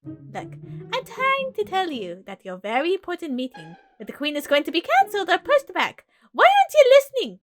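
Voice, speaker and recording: Woman doing an excitable, fretful male rabbit, lively pitch. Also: moderate pace, faint music behind, exaggerated voice. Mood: exasperated. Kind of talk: acted storybook fan-fiction reading.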